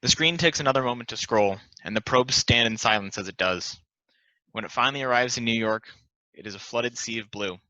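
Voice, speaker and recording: A man's voice: medium pitch, a little fast, pushed and bright, no room sound. A man reading scripted stage directions as narration at a table read. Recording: dull, lo-fi close dry recording.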